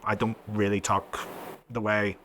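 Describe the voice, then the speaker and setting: Bright-voiced teasing Northern Irish man. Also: lowish voice, level tone, measured pace, slight background noise. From Northern Ireland. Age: 20s. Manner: teasing, laughs often. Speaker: podcast host. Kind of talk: casual chat.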